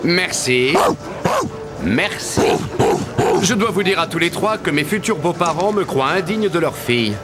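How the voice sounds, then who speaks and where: An actor playing a brave, courteous young soldier in an animated tale, polite and assured. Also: male voice, a little high, unhurried, over loud music. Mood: hopeful, courteous. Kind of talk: animated film acting.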